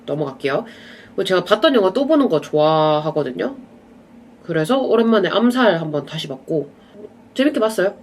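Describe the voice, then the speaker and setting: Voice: mid-range voice, fairly quick. A young adult vlogger with a slight cold and a stuffy nasal voice, chatting casually to camera about films and dramas. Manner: relaxed, chatty, drifting off into asides. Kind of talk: casual rambling chat to camera.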